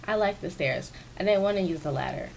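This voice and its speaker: Low, raspy woman rambling casually. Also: expressive, brisk, slight background noise, rough recording. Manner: rambling and chatty, with many fillers and self-mocking laughs. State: stuffy from sinus trouble. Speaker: video creator. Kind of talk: casual commentary over a speed-build. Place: home office in an apartment. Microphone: new sensitive desk microphone.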